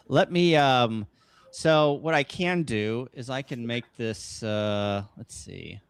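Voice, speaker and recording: A man's voice: mid-range voice, slow pace, smooth, no room sound. American man hosting a dry, close livestream. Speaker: host of a ham radio livestream. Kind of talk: casual livestream brainstorming talk. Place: upstairs room at home, kitchen table.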